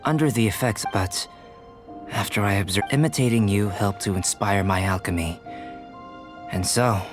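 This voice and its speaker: A man playing a calm, scholarly, enigmatic young alchemist in a video game, speaking in a measured, formal way. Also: fairly low pitch, over music, natural voice. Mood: reflective. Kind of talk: video game character acting.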